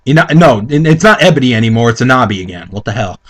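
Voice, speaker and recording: A man's voice: medium pitch, animated, fast talker, a bit bright, slight background noise. A man co-hosting a comedic dramatic reading, reading aloud theatrically and breaking off into incredulous, sweary commentary. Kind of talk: mocking read-aloud with casual commentary.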